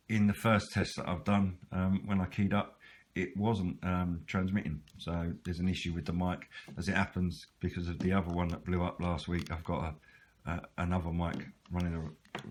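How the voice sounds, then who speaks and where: A British man giving a casual, unscripted hobby video walkthrough in a hesitant, halting way. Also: low voice.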